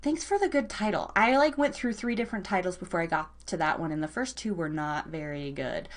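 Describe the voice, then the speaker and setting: A woman's voice: on the low side, expressive, fairly quick. A woman hosting a live horror story podcast, chatting casually and informally, repeating words for emphasis. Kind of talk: casual live show chat.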